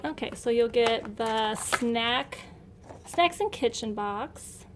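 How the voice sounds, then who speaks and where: Female homeschool vlogger, middle-aged, slightly high voice, casual and upbeat; home recording with faint noise. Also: lively intonation, slow. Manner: chatty, upbeat, enthusiastic. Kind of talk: casual unboxing narration. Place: indoor room at home.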